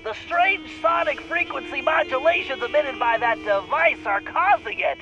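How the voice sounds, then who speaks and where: A man's voice: very high-pitched, moderate pace, dull audio. A man playing a brainy, earnest cartoon turtle tech planner in a video game, with a precise, fussy manner. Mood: analytical. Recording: music behind the voice.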